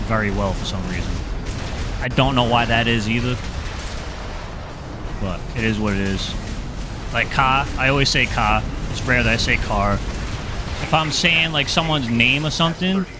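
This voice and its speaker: A man from New England with a Boston accent, chatting casually with viewers while streaming a game, dropping his R's and filling his talk with "like". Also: mid-range voice. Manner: rambling, filler-heavy, drops his R's. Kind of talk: casual gaming livestream chat.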